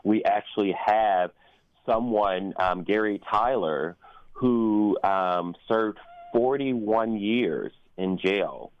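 A man speaking as an interview guest in an earnest, reflective conversational way, often halting and repeating phrases as he thinks. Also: lowish voice, smooth, no echo. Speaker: guest, performer, teacher and activist. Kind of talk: earnest interview conversation about community events. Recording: dull, lo-fi sound.

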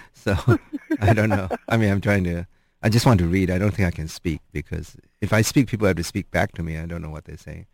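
A man's voice: sits low, steady tone, brisk, gravelly, darkish tone, no echo. A man interviewing a guest in casual chat, thoughtful and hesitant, with many ums.

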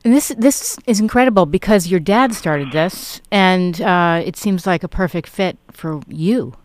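A radio interview host asking questions and offering short, warm, encouraging reactions. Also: mid-range voice, a little slow, no room sound.

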